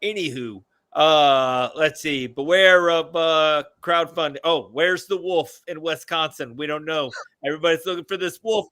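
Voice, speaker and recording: Bright-voiced joking man in his forties. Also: a little high, normal speed, hardly any pauses, no room sound. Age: 40s. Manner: joking, crude, rambling. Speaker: podcast co-host. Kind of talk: casual banter.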